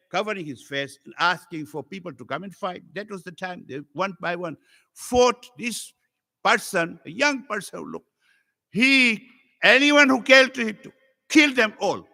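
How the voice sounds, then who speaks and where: A man's voice: animated, unhurried, gravelly, sharp tone. Earnest man preaching from Africa. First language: Swahili. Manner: earnest preaching, rising to high emotional pitch in the storytelling. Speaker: visiting Islamic scholar. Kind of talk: religious sermon to a congregation.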